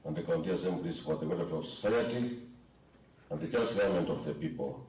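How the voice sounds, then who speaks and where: Deep mellow Kenyan man reciting a prayer. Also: fairly quick. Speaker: official reading the assembly's opening prayer. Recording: roomy, distant microphone, faint background noise.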